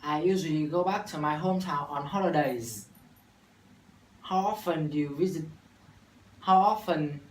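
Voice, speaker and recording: A man's voice: a little high, normal speed, long pauses. A young Vietnamese man in his late teens speaks in careful, rehearsed English with a Vietnamese accent, restarting phrases now and then. He sounds distant from the mic in an echoey room. A faint hiss sits under the voice. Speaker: university student. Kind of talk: scripted classroom dialogue practice.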